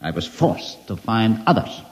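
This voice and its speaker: An actor playing a coldly polite, villainous scientist, speaking formally and smugly in old radio drama style. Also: male voice, lowish voice, very animated. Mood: gloating, clinical. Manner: formal, measured, smugly courteous. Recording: muffled old radio recording, long reverb.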